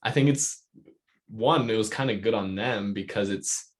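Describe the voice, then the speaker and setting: A man's voice: fairly low pitch, moderate pace. A man hosting a casual interview podcast, rambling and enthusiastic, peppering his sentences with "like". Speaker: podcast host.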